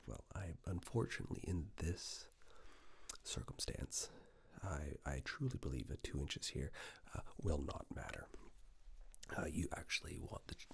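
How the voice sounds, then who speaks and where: A man doing a soft-spoken ASMR roleplay as a tailor, breathy and often whispered, slow and reassuring, close to a binaural microphone. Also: rumbling low. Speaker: ASMR performer playing a tailor taking measurements.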